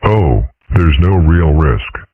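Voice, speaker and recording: A man voicing a calm, dry-witted dragon father in a fan comic dub, speaking in a deadpan, matter-of-fact way. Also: rumbling low, slow pace, husky, dry recording, heavily acted. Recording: dry, phone-like lo-fi sound.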